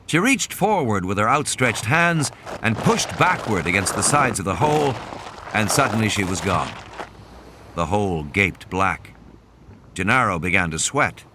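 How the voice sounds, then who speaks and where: A man's voice: on the low side, expressive, unhurried, background noise. A man reading a novel as dramatic scripted narration, with background sound behind him. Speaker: audiobook narrator. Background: ambient sound effects.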